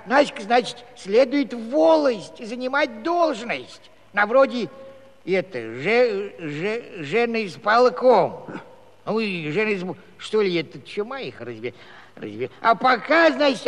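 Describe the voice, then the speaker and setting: High, swooping gossip, slow-spoken. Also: male voice, sing-song, a bit bright, in a large hall, heavily acted. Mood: mock learned, alarmed. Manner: rambling, stumbling over big words. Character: excitable gossipy Cossack villager spreading alarming news. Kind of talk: theatrical comic musical dialogue.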